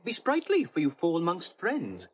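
An actor playing an eager, warm-hearted young mountain youth with effusive Shakespearean delivery. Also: male voice, high voice, wildly swooping pitch, normal speed. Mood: eager, affectionate. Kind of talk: theatrical Shakespearean acting.

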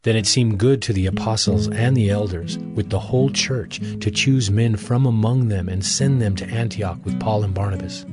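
A man narrating scripture as a scripted audio drama, in a measured, formal manner. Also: fairly low pitch. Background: music.

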